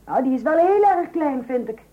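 A woman's voice: high voice, expressive, moderate pace. An actress playing a chatty, coaxing housewife and mother in an old radio comedy. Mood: polite, interested. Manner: eager, wheedling, hesitant interjections. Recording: dull, muffled old radio recording.

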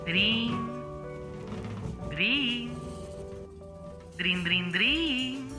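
A woman's voice: mid-range voice, sharp tone. A woman playing an impersonal, courteous court official on the telephone in a radio play, formal and officious. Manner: formal, officious announcement, mimics phone ringing. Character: impersonal, courteous bureaucratic caller summoning the accused. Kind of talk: radio drama stage acting. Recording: echoey, music underneath.

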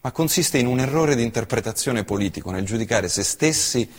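A man performing a theatrical monologue, with impassioned declamation that swings between loud outbursts and hushed low lines. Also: expressive, a little fast, husky, long reverb tail. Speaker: stage actor.

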